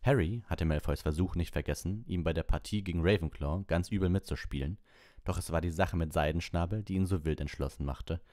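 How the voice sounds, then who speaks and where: A man's voice: low voice, fairly quick, dark tone, no echo, clean studio sound. A man reading an audiobook as narrator, in scripted storybook narration.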